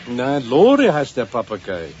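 An actor playing a puzzled, matter-of-fact zoo keeper in a children's radio play. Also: male voice, medium pitch, wildly swooping pitch, measured pace, a little mellow. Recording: dull, faintly noisy 1970s radio tape.

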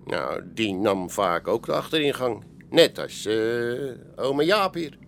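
An actor playing a chatty, unbothered Amsterdam café owner in a radio play, colloquial and knowing, with hesitant asides. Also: male voice, medium pitch, animated, slow, gravelly, slight background noise. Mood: casual, unbothered. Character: male Amsterdam pub landlord, streetwise, chatty, unbothered. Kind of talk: Dutch radio play acting.

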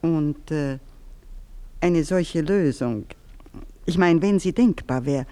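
A woman's voice: low voice, slow, mellow. An actress playing an older baroness who soothes and pleads with her servant. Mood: pleading. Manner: soothing, placating, then pleading. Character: older noblewoman, a baroness, anxious and placating. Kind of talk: radio play acting.

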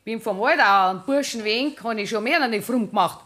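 A woman's voice: a little high, brisk, a bit bright, close to an everyday voice. A woman playing a bossy Bavarian nun nurse. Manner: bossy, scolding. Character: brisk, sharp-tongued hospital nun nurse. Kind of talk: 1960s radio play acting.

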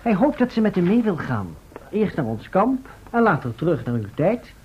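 A man's voice: high-pitched, very animated, normal speed, highs cut off, slight background noise. A man playing an unruffled, matter-of-fact visitor from the future in an old radio drama. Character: matter-of-fact male time traveller from the far future.

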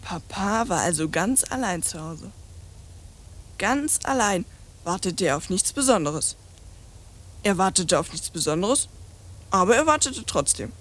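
A woman's voice: mid-range voice, animated, a little slow, pauses often, a bit bright. Radio play: brash young girl, precocious and scornful, bossy, slangy chatterbox. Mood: gleeful storytelling. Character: cheeky, streetwise, precocious young French girl, bluntly scornful.

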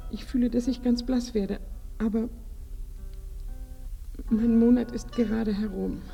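An actress in a radio play voicing a bashful, flustered village bride-to-be who is devout and humbly grateful, hesitant and often close to whispering. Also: female voice, a little high, steady tone, unhurried, gravelly, dark tone, faint music behind, poor audio.

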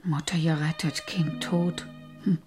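A woman's voice: low-pitched, fairly even intonation, a little slow, darkish tone, faint music behind. An actress playing a fretting, homely mother at breakfast in a radio drama.

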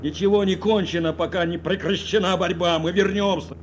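A man's voice: high-pitched, steady tone, fairly quick, natural voice. An actor playing a loyal, steadfast male navigator in a war audio drama, addressing his commander earnestly, with engine noise behind. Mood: resolute. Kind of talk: Soviet audio drama acting.

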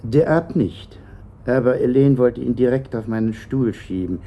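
An actor playing a bitter, uneasy middle-aged company director answering questions haltingly in a German radio play. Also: male voice, medium pitch, mellow, a little hiss or hum, lightly acted. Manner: hesitant, evasive, halting.

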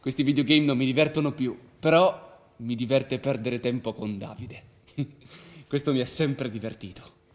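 A man's voice: mid-range voice, fairly even intonation, moderate pace, natural voice. A man acting the part of a restless, idealistic, rebellious young man in a theatrical radio drama. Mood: animated storytelling. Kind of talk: theatrical radio drama, dialogue and first-person narration. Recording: roomy stage sound with faint noise.

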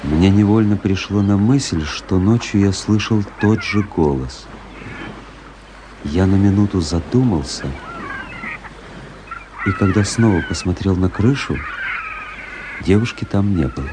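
Radio drama lead: ironic young officer-narrator, low register, measured literary delivery, noisy recording. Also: male voice. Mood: fascinated, observing. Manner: measured, reflective storytelling.

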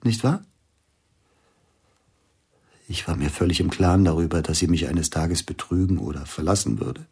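An actor playing a grieving older pianist in a radio play, brooding and suspicious, often sinking to a hushed near-whisper. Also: male voice, low voice, normal speed, long pauses, breathy edge, full character voice.

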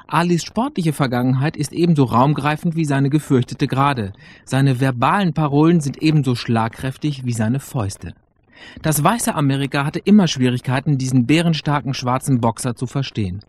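Medium-pitched male radio narrator. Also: animated, normal speed, slightly rough. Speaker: narrator introducing a radio play.

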